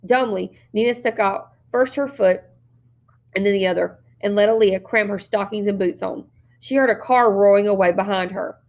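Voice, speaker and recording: Woman reading a novel aloud, mid-pitched. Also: moderate pace, vocal fry. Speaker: story reader. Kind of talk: expressive read-aloud narration of a novel.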